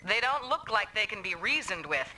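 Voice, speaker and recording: An actress playing a tough young woman mech pilot in a video game, brisk, bossy and scolding. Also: medium pitch, very animated, very noisy, natural voice. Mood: determined.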